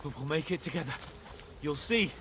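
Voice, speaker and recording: Actor as urgent high-voiced young male companion, noisy outdoors. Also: expressive, brisk, lightly acted. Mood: encouraging. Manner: urgent, encouraging, pleading. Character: loyal young male companion, urging on a wounded friend. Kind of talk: video game cutscene acting. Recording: noisy outdoor ambience behind the voice.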